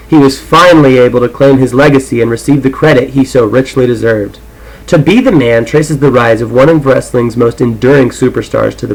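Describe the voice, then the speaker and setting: A man's voice: medium pitch, gravelly, low-quality recording. A man with an irreverent, foul-mouthed manner, talking casually and reading aloud as an amateur audiobook reader.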